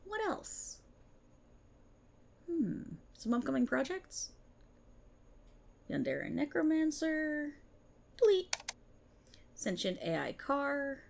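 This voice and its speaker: A woman's voice: sits high, very animated, slow pace, gravelly, long pauses, voice pushed up, full character voice. A woman performing an ASMR roleplay as a smug, vindictive multiverse police officer, with a theatrical, sarcastic and mocking delivery. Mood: gloating, vindictive.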